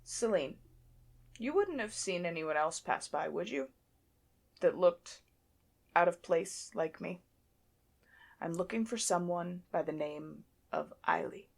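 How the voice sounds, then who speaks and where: A woman's voice: on the low side, animated, slow pace, gravelly, pauses often, exaggerated voice. A woman playing a guarded, blunt female werewolf pack leader in an audio drama, with a dry, informal way of talking. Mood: wary, unsure. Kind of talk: scripted audio drama acting.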